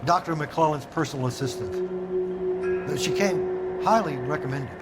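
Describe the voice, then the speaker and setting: An actor playing a middle-aged company boss in a video game, hesitant, guarded and self-justifying. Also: male voice, fairly high pitch, normal speed, loud music behind the voice, close to an everyday voice. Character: defensive, evasive businessman, company boss under questioning. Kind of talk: video game dramatic acting.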